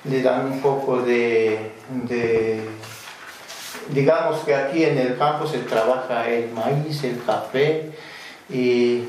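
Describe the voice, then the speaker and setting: A man who answers interview questions as a community council member. He speaks deliberately, in plain phrasing with frequent fillers. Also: medium pitch, a little mellow. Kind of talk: slow spoken testimony in an interview. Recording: very echoey room, distant mic, faint noise.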